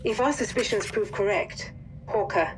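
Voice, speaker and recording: An actress playing a composed intelligence handler in a war game, delivering a formal, measured, serious mission briefing. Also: female voice, mid-range voice, a little hiss or hum, lightly acted. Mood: urgent, formal.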